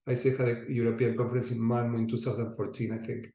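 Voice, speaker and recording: A man's voice: medium pitch, quick pace. Argentine guy running a video call session, upbeat and inviting, roomy echo. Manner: lively, inviting, frequent restarts. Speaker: host and facilitator. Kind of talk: online group session.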